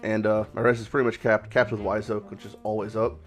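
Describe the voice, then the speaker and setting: A man with a slightly low voice, made raspy and hoarse by a cold, talks through his game in a loose, rambling way, dropping the odd swear word and correcting himself mid-sentence. A little background music plays quietly under him. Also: flat delivery, fast. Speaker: gaming channel host. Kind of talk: casual game commentary vlog.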